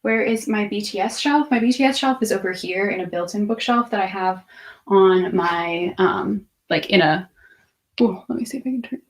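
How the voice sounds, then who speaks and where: A woman's voice: mid-range voice, moderate pace. A woman in her twenties chatting casually on a livestream, rambling and half-distracted as she thinks aloud and answers viewers' questions. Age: 20s. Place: room at home with bookshelves.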